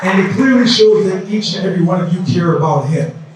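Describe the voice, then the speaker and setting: A man's voice: high-pitched, expressive, fairly quick, heavy background noise. A man in his thirties, originally from South Sudan, emceeing a campaign event in loose, repetitive crowd-rousing talk. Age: 30s. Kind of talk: casual speech at a campaign event. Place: large indoor event hall. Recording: echoey hall, mic from far off.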